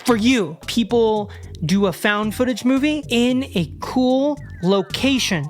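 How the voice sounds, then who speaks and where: A woman's voice: a little high, animated, slow, over music. A woman losing her voice, giving casual, joking commentary on horror films and swearing freely. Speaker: horror video essayist.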